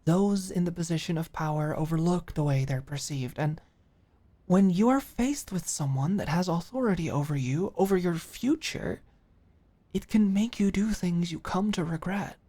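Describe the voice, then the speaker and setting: A woman playing an earnest, caring office manager in an intimate ASMR roleplay, speaking haltingly and with open emotion. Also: fairly low pitch, lightly acted. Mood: serious, caring. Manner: halting, sighing, emotionally expressive.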